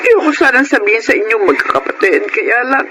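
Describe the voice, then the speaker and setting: A woman playing an anguished, gentle Filipino mother in a melodramatic radio drama, halting and emotional, on a noisy track. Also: on the high side, lively intonation, lightly acted. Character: anguished, gentle, pious mother of grown children.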